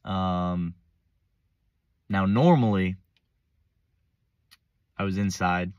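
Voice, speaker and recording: A young man with a deep, low voice, a little hoarse as he gets over a cold. He rambles in loose, slangy chat, cutting himself off and starting over. The sound is close and dead, like a phone held up in a parked car. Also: drawn-out words, a little mellow, dry recording, lo-fi sound. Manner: rambling, self-interrupting, casual slang. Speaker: vlogger. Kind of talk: unscripted casual monologue. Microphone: phone front-facing selfie camera.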